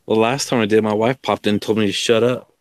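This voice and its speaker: A man chatting casually as a livestream guest, with a halting, self-deprecating way of talking. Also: fairly low pitch, steady tone, dry recording, studio quality. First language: English. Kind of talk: casual chat.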